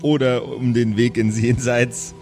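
Playful mid-pitched man hosting tabletop games. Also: flat delivery, a little slow, a little mellow, soft background music. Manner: jokey, playful. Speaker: game master and podcast host. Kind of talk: casual improvised banter and storytelling.

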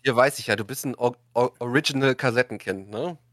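A man's voice: mid-range voice, a little fast, fairly bright tone, no echo, clean studio sound. A man hosting a casual discussion, often restarting his sentences as he searches for words. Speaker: podcast and radio show host. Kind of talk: casual chat, moderating a discussion.

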